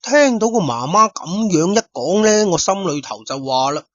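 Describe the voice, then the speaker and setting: Husky chatty male storyteller. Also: high voice, moderate pace, no echo. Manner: chatty, addresses listeners directly, lively asides. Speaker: storyteller narrating as detective. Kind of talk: first-person Cantonese storytelling. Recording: dull lo-fi dry studio recording.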